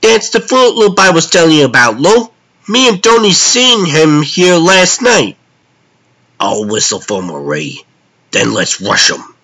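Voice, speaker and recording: A man voicing tough, dim, menacing street-gang thugs with gruff slangy talk in a comic dub. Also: on the high side, sing-song, a little slow, pushed and bright, exaggerated voice.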